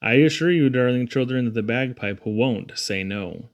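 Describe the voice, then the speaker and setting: A man reading humorous children's poetry aloud in a theatrical, rhythmic, expressive way. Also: mid-range voice, normal speed, highs cut off. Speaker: poetry reader.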